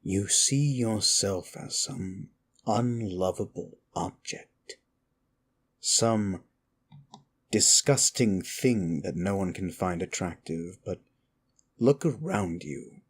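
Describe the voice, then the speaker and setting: A man playing a wicked, contemptuous immortal demon in an ASMR roleplay, speaking in a theatrical, brooding way with a gravelly voice and deliberate pauses. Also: animated, heavily acted. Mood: brooding, softening. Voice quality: low, gravelly. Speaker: audio roleplay performer. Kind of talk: ASMR roleplay monologue, addressed to the listener.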